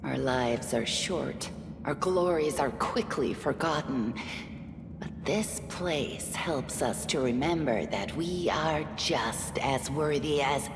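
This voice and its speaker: An actress playing a stern, proud and fatalistic tribeswoman in a video game, solemn and measured. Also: rumbling low, vocal fry, full character voice. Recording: echoey hall reverb. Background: background music.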